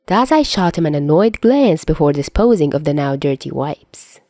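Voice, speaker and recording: Woman narrating a story, low-voiced and close. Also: sing-song, normal speed, mellow, no room sound. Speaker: fan fiction narrator.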